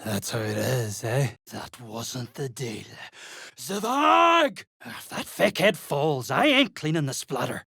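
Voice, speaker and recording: An actor playing a coarse, greedy duergar mercenary in a fantasy video game, speaking gruffly in rough, sweary slang. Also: mid-range voice, sing-song, slow, pushed and bright, no echo, clean studio sound, heavily acted. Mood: gruff, irritated. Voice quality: gravelly, husky.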